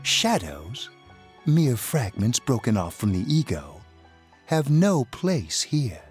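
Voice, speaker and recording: An actor playing an eerie, amused old master of a mysterious room in a video game, speaking in a theatrical, deliberate way. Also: male voice, medium pitch, big pitch swings, a little mellow, faint music behind.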